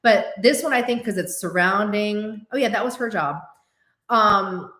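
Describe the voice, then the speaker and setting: A woman in her forties, born to Korean as a first language, hosting a casual livestream chat and answering viewers in an animated way. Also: medium pitch, a little slow, echoey room. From United States. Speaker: host.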